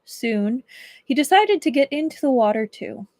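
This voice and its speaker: A woman livestreaming as a book reader, with expressive storybook narration and casual chat. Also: sits high, normal speed, no echo, studio quality.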